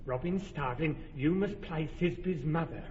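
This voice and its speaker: An actor playing an earnest, fussy carpenter who directs a village play, persuasive and organizing, in a radio drama. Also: male voice, a little high, moderate pace, slight background noise, lightly acted. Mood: directing. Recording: dull lo-fi radio recording with hall reverb.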